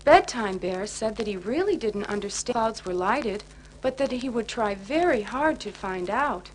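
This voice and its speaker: Lady reading a kids bedtime story, expressive, high voice, faint noise like an old record. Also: normal speed. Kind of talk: children's bedtime story narration. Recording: noisy 1980s children's record.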